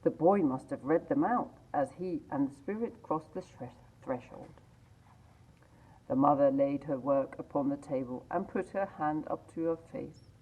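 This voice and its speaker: A woman reading a classic story aloud in a measured, expressive way. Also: low voice, breathy edge. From England. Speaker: library reader. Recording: tinny phone-like sound.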